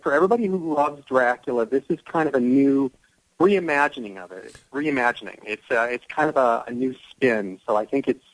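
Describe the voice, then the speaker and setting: A man's voice: mid-range voice, brisk, no room sound, low-quality recording. A man speaking as an actor guest in a casual radio interview, explaining things with enthusiasm. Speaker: guest, audio drama actor.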